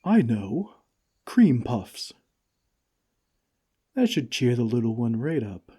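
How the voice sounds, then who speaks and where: A man playing a slow, mellow, gentle giant. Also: medium pitch, big pitch swings, slight background noise. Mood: musing to himself. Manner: tender, old-fashioned, unhurried phrasing. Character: gentle, caring friendly male giant, fussing tenderly over a little friend. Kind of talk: ASMR comfort roleplay.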